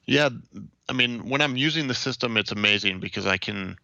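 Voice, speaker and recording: A young American man from Ohio giving measured, explanatory answers as an interview guest. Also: medium pitch, creaky, sharp tone, dry recording, lo-fi sound. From Ohio, United States. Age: young adult.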